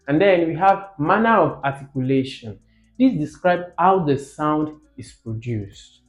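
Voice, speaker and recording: A man's voice: medium pitch, a little mellow. A man teaching informally as a video host, emphatic, repeating key words and putting rhetorical questions to the learner.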